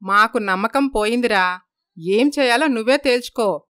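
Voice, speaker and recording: A woman's voice: fairly high pitch, normal speed, fairly bright tone, clear, resonant tone, no room sound, clean studio sound, close to an everyday voice. A woman voicing a worried, stern older mother-in-law in a moral story.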